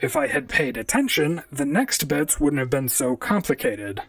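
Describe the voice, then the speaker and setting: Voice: mid-range voice, huge pitch swings, moderate pace, creaky, dry recording, recorded from a distance. An actor voicing a wry, talkative robot private detective in an audio drama, speaking and narrating in a dry, conversational noir manner.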